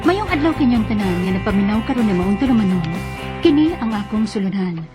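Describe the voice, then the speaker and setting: An actress playing an earnest, eager nineteen-year-old working student with a Cebuano accent in a radio drama. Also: female voice, medium pitch, expressive, brisk, over music, close to an everyday voice. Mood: formal storytelling. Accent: Cebuano Filipino. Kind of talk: Filipino radio drama acting and narration.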